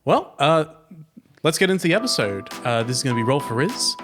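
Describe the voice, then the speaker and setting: A man of medium pitch banters casually as a tabletop player. His intonation is very lively and varied, and light music plays behind his voice. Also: brisk. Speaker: player and show regular.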